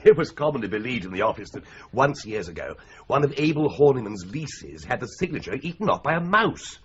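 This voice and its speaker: A man's voice: medium pitch, big pitch swings, fairly quick, a little hiss or hum, natural voice. An actor playing a wry, teasing young male solicitor's clerk in a radio drama. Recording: dull lo-fi radio play recording.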